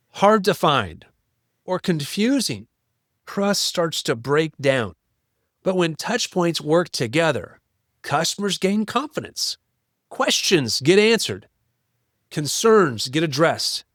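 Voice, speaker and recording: Higher-pitched man with a lively, instructive delivery. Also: no echo, clean studio sound. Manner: instructive, rhetorical questions, emphatic lists. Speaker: presenter of marketing tips for business owners. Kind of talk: explainer talk to business owners.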